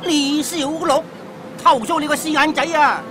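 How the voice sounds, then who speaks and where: Brief: pushy old gambler, mocking, blustering, noisy crowd behind. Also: male voice, extremely high pitch, moderate pace. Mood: rude mocking. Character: pushy old male racing fan.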